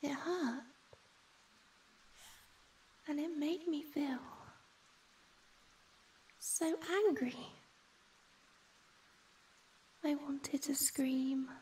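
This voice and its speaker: A woman voicing a shy, gentle young ghost girl in a soft, breathy, often whispered ASMR roleplay, speaking hesitantly and tenderly. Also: high voice, fairly even intonation, very slow, pauses often, recorded from a distance, low-quality recording. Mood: hurt, fearful.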